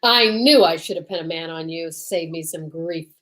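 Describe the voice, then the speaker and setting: A woman's voice: deep voice, normal speed, fairly bright tone. A woman playing a suspicious, needling male police detective in an old-time radio drama, dry and terse. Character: gruff, suspicious male police detective, fond but bossy.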